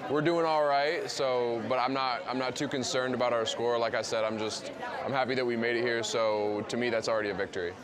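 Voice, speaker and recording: A man's voice: mid-range voice, steady tone, brisk. A man talking casually in an interview as a team coach. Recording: busy crowd in competition venue.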